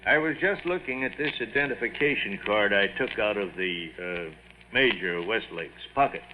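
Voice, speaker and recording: An actor playing a puzzled, mild-mannered army doctor, hesitant and musing, in 1950s radio drama style. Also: male voice, on the low side, expressive, normal speed, natural voice. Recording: tinny, noisy 1950s radio recording.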